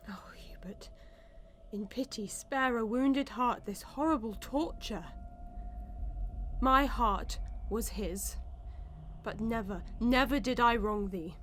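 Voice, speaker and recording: An actress playing an anguished, devoted wife who pleads in archaic, emotional language in a Victorian melodrama for audio. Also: female voice, on the high side, takes it slowly, fairly bright tone, slight background noise. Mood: pleading.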